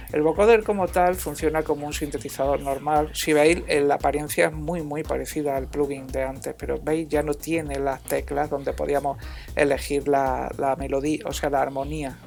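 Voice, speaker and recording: A man narrating an informal music software tutorial as an instructor, in a halting, hesitant way. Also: a little high, vocal fry.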